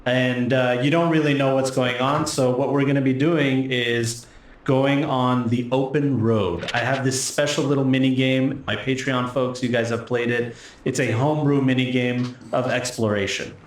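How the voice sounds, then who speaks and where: A man's voice: medium pitch, fairly even intonation, normal speed. A man running a tabletop game as game master, explaining and narrating in a casual, conversational way. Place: indoor room with echo. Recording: echoey room, faint background noise.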